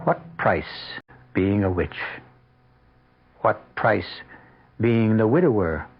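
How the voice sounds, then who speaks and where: An older man playing a wry, theatrical host, narrating an old-time radio mystery in a deliberate manner. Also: on the low side, expressive, slight background noise. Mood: mock mournful. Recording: dull old radio recording. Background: prominent music.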